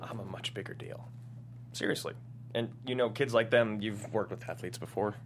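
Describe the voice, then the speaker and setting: Need male actor for puffed-up esports manager, earnest and defensive, slightly deep voice, light hum on tape. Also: normal speed, lightly acted. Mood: sheepish, smug. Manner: earnest, self-important. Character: self-important, defensive young male esports team manager. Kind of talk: comedy pilot table read.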